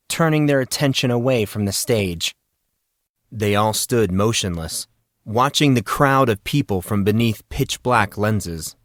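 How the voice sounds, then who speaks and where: A man narrates a story in a dramatic, scripted style. His pitch is middling for a man. The recording is dry and close, with very little room sound. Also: no room sound. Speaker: audiobook narrator.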